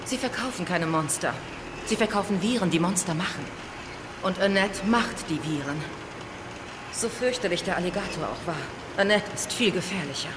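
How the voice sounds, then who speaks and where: A woman's voice: fairly low pitch, lively intonation, moderate pace, noisy background, natural voice. A woman playing a cool, determined, sardonic female agent in an audio drama, commanding and wry. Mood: matter-of-fact. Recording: long reverb, music behind.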